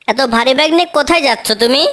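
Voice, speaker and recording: Little tailorbird heroine female actor, cartoon fable: kind, determined, eager, high-pitched voice. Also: very fast, fairly bright tone. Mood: caring.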